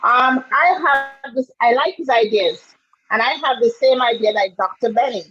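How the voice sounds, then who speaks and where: A woman's voice: on the high side, normal speed, fairly bright tone, husky. A woman speaking as a guest on a group call, friendly and plain-spoken. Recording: dull lo-fi call audio.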